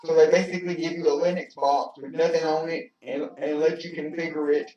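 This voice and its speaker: Halting man on an echoey call. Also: fairly high pitch, little pitch movement. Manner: halting, repeats words while explaining. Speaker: tech-savvy participant on a video call. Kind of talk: casual group chat. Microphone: video call. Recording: echoey, distant, dull call audio.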